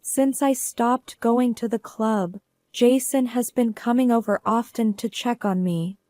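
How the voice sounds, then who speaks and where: Woman doing a bright girlish college daughter, sweet and slow, dry sound. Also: on the high side, dry recording, voice pushed up, close to an everyday voice. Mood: reassuring. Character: cheerful young college daughter, reassuring. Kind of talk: scripted narration.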